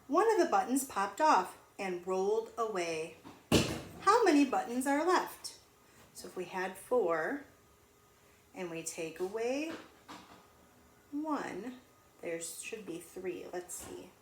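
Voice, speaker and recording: A woman teacher reading a storybook aloud to young children in an animated, sing-song way, pausing to ask them questions. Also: medium pitch. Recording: echoey room with faint noise.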